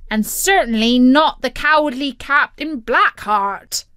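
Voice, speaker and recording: Woman as a slow, declamatory pirate reader. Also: sits high, animated, fairly bright tone, smooth, no room sound, clean studio sound, pitched above the performer's own voice, heavily acted. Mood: formal. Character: pirate crewman carefully reading a formal letter aloud. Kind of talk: children's storybook reading.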